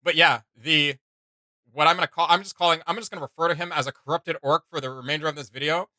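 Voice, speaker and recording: A man's voice: a little high, fairly even intonation, fast, sharp tone, no room sound. A man hosting a reaction video, giving casual, rambling commentary in a conversational way.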